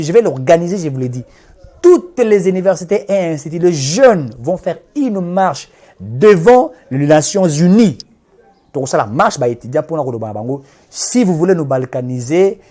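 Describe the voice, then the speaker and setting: Mellow-voiced Congolese man, emphatic. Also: a little high. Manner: emphatic, repeats himself for emphasis, mixes two languages. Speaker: political activist, interview guest. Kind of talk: impassioned political talk.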